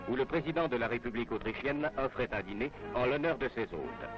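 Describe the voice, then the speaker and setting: A male narrator reads a 1960s French newsreel script with formal announcer diction. His voice sits at a medium pitch. Music plays clearly behind him, and the sound is that of a vintage film soundtrack. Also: animated, fairly quick, poor audio. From France.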